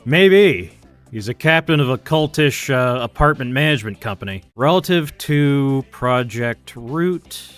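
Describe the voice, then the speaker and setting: A man chatting casually as he codes on a livestream, muttering to himself and grumbling with some swearing. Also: medium pitch. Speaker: livestreaming programmer. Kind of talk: casual chat while live coding.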